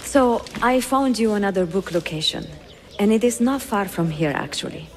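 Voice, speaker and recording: An actress playing a cultured, warm young Italian woman who sells books, with an Italian accent, in a dramatic video game. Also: medium pitch, lively intonation, normal speed, long reverb tail, a little hiss or hum, natural voice. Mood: playful. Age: young adult.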